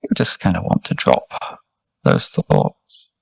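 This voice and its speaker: Slow, mellow man guiding meditation. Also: no echo. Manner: soft, gentle, unhurried, soothing phrasing with long pauses. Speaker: meditation teacher, Buddhist monk. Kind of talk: guided meditation, step-by-step instruction.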